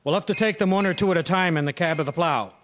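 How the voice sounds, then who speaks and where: A man's voice: a little high, fast talker, fairly bright tone, a little hiss or hum, lightly acted. A man playing a matter-of-fact municipal garage foreman directing snowplows in a radio drama. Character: male municipal garage foreman managing plows.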